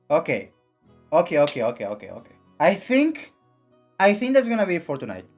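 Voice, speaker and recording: A young man with a raspy voice streaming casually, rambling with many fillers and restarts. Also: on the high side, wildly swooping pitch, normal speed, faint music behind. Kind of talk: casual live-stream chat and reading game text.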